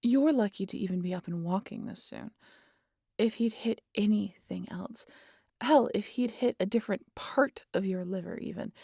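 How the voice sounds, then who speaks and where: An actress as a sardonic female vampire in an intimate audio roleplay. Her voice is gravelly with vocal fry and recorded very dry and close. She teases and scolds in a casual, sweary way, protective beneath the sarcasm. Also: mid-range voice, warm tone, dry recording. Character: teasing, sardonic immortal female vampire, protective of wounded hunter.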